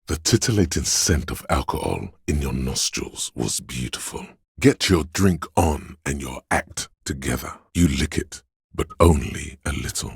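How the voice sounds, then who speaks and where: A man's voice: very deep voice, animated, a little slow, creaky, breathy edge, dry recording, studio quality, exaggerated voice. A man voicing the seductive inner voice of addiction in a video game, goading and coaxing as he savours his words. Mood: savouring, seductive. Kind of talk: scripted video game voice acting.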